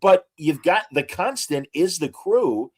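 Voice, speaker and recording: A man co-hosting a casual podcast, reading out actor credits with frequent hesitant pauses and showman asides to the audience. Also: a little high, animated. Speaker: podcast co-host.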